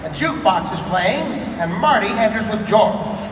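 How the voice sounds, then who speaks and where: A man's voice: high-pitched, expressive, moderate pace. A man narrating a live comedic script reading in a convention hall. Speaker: narrator of a table read. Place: large indoor convention hall. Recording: echoey noisy convention hall, far from mic.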